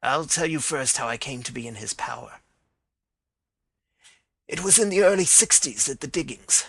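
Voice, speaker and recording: A man's voice: mid-range voice, expressive, moderate pace, gravelly, fairly bright tone, slightly rough, no echo, heavily acted. A man voicing a dying older man who pleads and confesses with emotion. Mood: confessing. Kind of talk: dramatized reading of a detective story.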